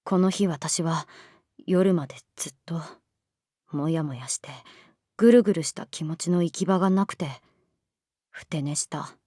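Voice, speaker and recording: A woman's voice: fairly low pitch, normal speed, long pauses, dark tone, no echo, studio quality, close to an everyday voice. A woman playing a sulky, lovesick teenage schoolgirl in a Japanese voice drama, speaking bluntly and hesitantly. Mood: brooding inner monologue. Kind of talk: Japanese voice drama acting with hushed inner monologue.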